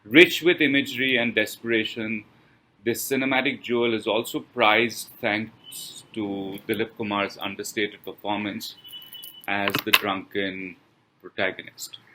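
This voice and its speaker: A man reading a scripted film review as presenter, with measured, halting, deliberate phrasing. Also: lowish voice, fairly even intonation, pushed and bright, slight background noise, low-quality recording. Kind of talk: scripted read-aloud review with pauses.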